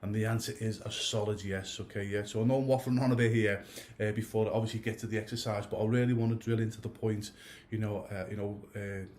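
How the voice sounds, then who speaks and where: A man's voice: fairly low pitch, fairly even intonation, fast talker, a little mellow, slight background noise. Rambling man coach with a stuffy cold. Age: 30s or older. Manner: rambling, many restarts and asides. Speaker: goalkeeper coach. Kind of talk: casual spoken coaching talk to camera.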